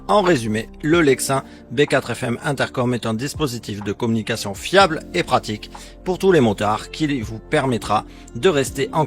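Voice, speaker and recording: A man with a mid-range voice presents a scripted product comparison as a voice-over. He reads in a measured, explanatory way with a sales-pitch style. Faint music plays behind him. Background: background music.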